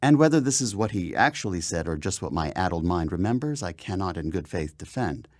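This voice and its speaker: Deep-voiced man, theatrical and swooping storyteller. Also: lively intonation, a little fast, dry recording, clean studio sound. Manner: theatrical, emphatic phrasing. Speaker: author reading own memoir. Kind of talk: dramatic first-person memoir narration. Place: voiceover studio. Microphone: close studio microphone.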